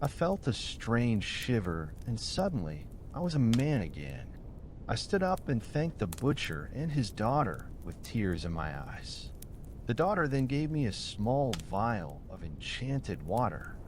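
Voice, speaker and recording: Man reading as earnest old storyteller, lively pitch. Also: unhurried, a little hiss or hum. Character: old man telling his mule-wife tale, wronged, earnest.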